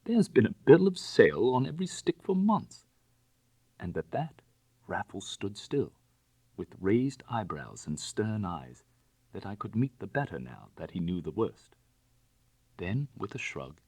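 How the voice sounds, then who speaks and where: A man's voice: sits low, darkish tone, breathy edge, dry recording. A man narrating literary fiction in the first person, in a dramatic storytelling style. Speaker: audiobook narrator.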